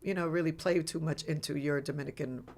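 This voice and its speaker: A woman hosting an interview, asking questions casually and backing up her guest with steady affirmations. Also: low-pitched, brisk. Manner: supportive, frequent affirmations. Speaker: podcast host.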